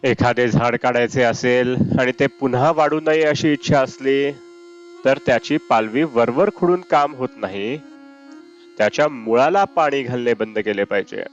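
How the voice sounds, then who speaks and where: A man reads a spiritual discourse aloud in a measured, deliberate way. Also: medium pitch, highs cut off. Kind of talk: read-aloud devotional discourse. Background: Indian classical raga music with sitar.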